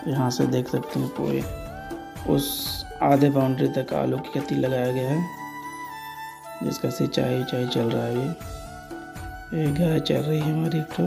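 A man's voice: medium pitch, flat delivery, unhurried, vocal fry, long pauses, music in the background. A man narrating a casual village vlog, pointing things out as he goes. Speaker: village vlogger. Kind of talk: casual vlog narration, showing around a farm.

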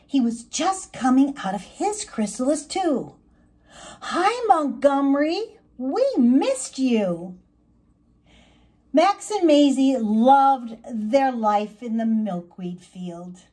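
A woman reading a children's story aloud as narrator, in an expressive storybook style. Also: fairly high pitch, husky.

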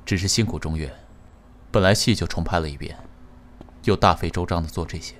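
An actor in a Chinese audio drama playing an indulgent, wistful company president, gentle, measured and understated. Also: male voice, low voice, a little hiss or hum, lightly acted. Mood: gently reasoning. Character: soft-spoken, indulgent, wistful male company president in love.

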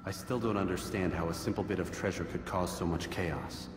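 Guy as young assassin, really deep voice, serious n restrained, game cutscene w music. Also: fairly quick, lightly acted. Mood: questioning. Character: stoic, determined young male assassin. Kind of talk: dramatic video game cutscene acting.

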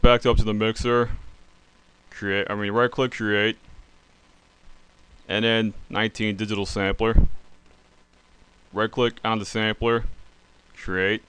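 Low, very clear-voiced man giving a tutorial. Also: fairly bright tone, poor audio. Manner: plain, step-by-step instructing. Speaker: tutorial presenter. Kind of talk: unscripted software tutorial narration.

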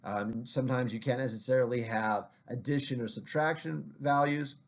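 A man explains statistics in a recorded slide lecture, speaking at a middle pitch. He keeps the tone conversational, often checking in with "right?" and the occasional "um" as he works through examples. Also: normal speed. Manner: conversational, frequent "right?" check-ins and "um" fillers.

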